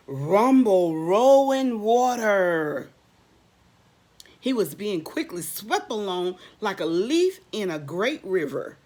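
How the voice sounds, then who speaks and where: A woman's voice: medium pitch, a little mellow. A middle-aged woman doing a read-aloud storytime for young children, expressively posing questions and answering them, drawing out and repeating words for emphasis. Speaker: children's storybook reader, teacher.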